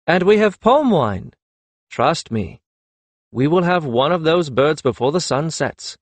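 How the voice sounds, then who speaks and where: A man voicing a lively, mischievous young woman in an audiobook, in an animated way. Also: a little high, measured pace, no echo, voice pushed up. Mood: confident.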